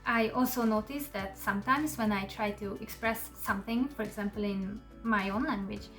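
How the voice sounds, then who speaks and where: A woman whose first language is Hungarian talks casually to camera in English as a channel host, relaxed and chatty with soft fillers. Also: mid-range voice, normal speed, faint music behind.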